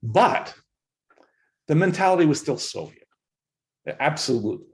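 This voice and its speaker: A man's voice: on the high side, very animated, measured pace. Earnest Canadian man explaining history. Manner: earnest, emphatic explainer with frequent restarts. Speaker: professor and podcast guest. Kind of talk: interview answers.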